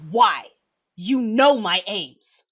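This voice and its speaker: An actress playing a proud, battle-hardened Sith mother and dark warrior in an audio drama. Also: sits low, expressive, a little slow, slightly rough, exaggerated voice. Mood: urgent, hurt. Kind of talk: first-person dramatic narration of audio drama.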